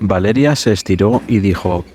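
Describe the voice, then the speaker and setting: A man hosting a podcast, narrating a scripted story in a measured, suspenseful manner. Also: lowish voice, noisy background.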